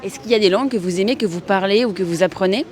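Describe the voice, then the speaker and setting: A woman host interviewing passers-by in the street and reading a promo, asking measured, clear questions. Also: medium pitch, a crowd in the background. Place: city street outdoors.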